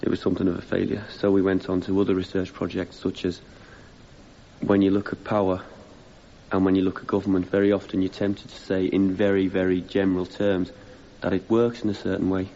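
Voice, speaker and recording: Low-voiced young activist defendant. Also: male voice, monotone, a little fast, mellow. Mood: thoughtful. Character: earnest young male activist defendant giving evidence. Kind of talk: radio drama testimony. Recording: dull, faintly noisy radio broadcast copy.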